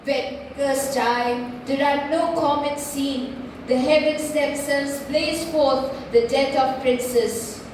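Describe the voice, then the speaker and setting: A woman's voice: high-pitched, fairly even intonation, slow, darkish tone. A young woman playing a frightened, pleading Roman wife in a school stage play, declaiming dramatically. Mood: fearful, foreboding. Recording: distant, very echoey hall, clear noise.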